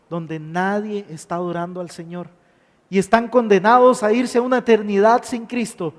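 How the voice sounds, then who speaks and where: A man preaching a sermon, emphatic, stacking repeated phrases. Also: high voice. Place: church hall. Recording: long hall reverb.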